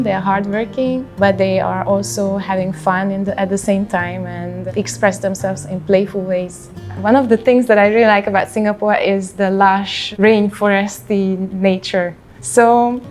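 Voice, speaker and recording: A woman in her thirties speaks with a Hungarian accent at a medium pitch. She sounds reflective and takes her time, as if in a portrait interview. Light music plays behind her voice. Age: 30s. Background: background music.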